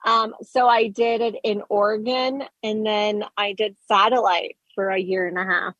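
A woman with a husky, breathy voice talks in a bubbly, chatty way as a radio guest. The sound is dull and lo-fi, like a call-in line, and very dry. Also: mid-range voice, level tone, moderate pace, a bit bright, no echo. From Colorado. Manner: bubbly and chatty, laughs easily. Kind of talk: casual interview chat.

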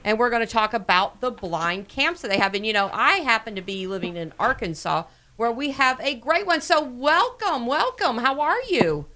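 A woman's voice: fairly high pitch, animated, normal speed, hardly any pauses, sharp tone. A middle-aged woman hosting a casual radio interview, chatty and full of repeated words and fillers. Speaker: host.

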